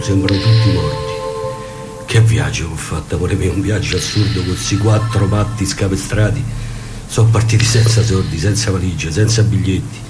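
A man's voice: low-pitched, natural voice. An actor in a radio play as a weary, grumbling old man with a Roman Italian accent. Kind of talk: radio theatre acting. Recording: loud music over the voice.

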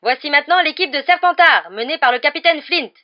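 A woman voicing an excited, partisan schoolboy shouting cheeky live sports commentary in an audiobook. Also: high-pitched, fairly quick, highs cut off, voice pushed up. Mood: proudly announcing. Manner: exclamatory, shouting, openly biased.

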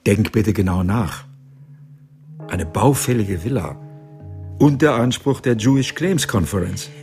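Senior lawyer in his seventies, authoritative, low older voice, radio drama, faint music. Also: expressive, measured pace, darkish tone. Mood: sober, insistent. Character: upright senior lawyer over seventy, authoritative father-in-law. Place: studio.

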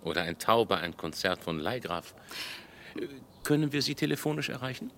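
An actor playing a polite, methodical police inspector who asks probing questions in a German radio crime drama. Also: male voice, fairly low pitch, big pitch swings, brisk, fairly bright tone, slight background noise. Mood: probing, dry.

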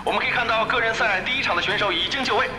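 Fast, high-voiced male esports commentator. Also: distant mic. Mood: brisk announcing. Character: chatty, self-important male esports commentator. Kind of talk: broadcast match commentary in audio drama. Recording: roomy broadcast sound under loud music.

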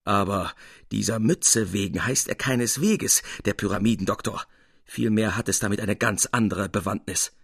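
Gravelly male storybook narrator, close and dry. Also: on the low side, normal speed, no room sound. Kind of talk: literary storybook narration, expressive.

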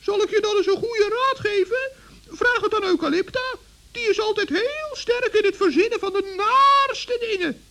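A little forest gnome from an old children's radio play. The voice is extremely high and bright with a scratchy creak, plucky and crafty in its manner. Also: normal speed, heavily acted. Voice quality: squeaky, creaky. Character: small good-hearted forest gnome, plucky and crafty, male.

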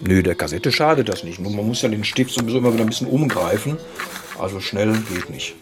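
A man's voice: fairly low pitch, lively intonation, fast talker, background noise. A man giving informal, hands-on technical explanations as an expert. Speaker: cassette repair expert being interviewed.

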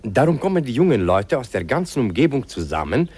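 An actor playing a kindly old village doctor in a radio play, patiently explaining things. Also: male voice, medium pitch, big pitch swings, a little fast, darkish tone, slightly rough. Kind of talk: 1950s radio play acting.

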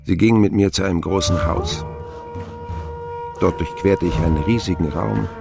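An actor playing a grown man who recalls his boyhood in measured, reflective radio drama narration. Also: deep voice, vocal fry, music in the background. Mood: reflective, grave.

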